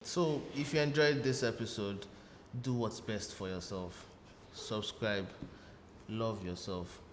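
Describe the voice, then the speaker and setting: A man delivering a short closing sign-off in a slow, punchy way. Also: on the low side. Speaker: outro voice. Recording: long reverb, faint hiss.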